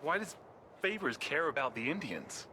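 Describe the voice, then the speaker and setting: A man's voice: a little high, big pitch swings, moderate pace, background noise. A man playing a dry, curious soldier in a video game, talking in terse, offhand phrases. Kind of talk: video game cutscene acting.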